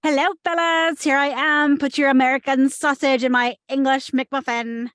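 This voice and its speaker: A woman's voice: sits high, flat delivery, moderate pace, fairly bright tone, no echo, clean studio sound. A woman playing a brazen, oblivious tourist roommate in a screenplay table read, blunt and matter-of-fact about absurd things.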